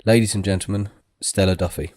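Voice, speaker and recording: A man interviewing an author, opening with a measured, formal introduction. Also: deep voice, fairly even intonation, a little mellow, no echo. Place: literary festival.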